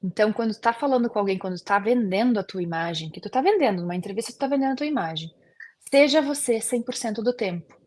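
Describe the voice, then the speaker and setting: A woman's voice: mid-range voice, animated, quick pace, darkish tone. A woman, a guest dentist talking earnestly in an interview, often using fillers. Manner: earnest, many fillers like "assim". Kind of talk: casual interview chat.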